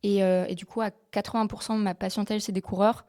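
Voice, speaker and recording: A young woman from France, in her twenties, talks about her life as a podcast guest. Her voice sits at an ordinary pitch, and her easy, open delivery is broken by small hesitations. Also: flat delivery, quick pace, a little mellow. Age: 20s. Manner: open and friendly, with frequent filler hesitations. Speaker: guest, physiotherapist. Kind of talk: casual interview chat.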